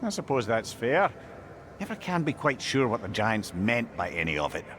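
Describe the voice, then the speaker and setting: An actor playing a wry, spirited old sage in a video game, animated and old-fashioned in his diction. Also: mid-range voice, normal speed, slight background noise. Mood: reflective. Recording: game music behind the voice.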